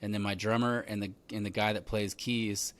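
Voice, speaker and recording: A man in his thirties hosting a podcast, telling stories in a relaxed chatty way full of "like" and "man". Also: lowish voice, moderate pace. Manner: relaxed storytelling, lots of fillers like "like" and "man". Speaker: podcast host. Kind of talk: casual conversational interview.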